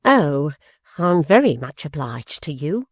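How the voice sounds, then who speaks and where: A reader voicing an indignant old mother-in-law, high and outraged. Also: animated, unhurried, darkish tone, dry recording, clean studio sound. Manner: shrill and outraged.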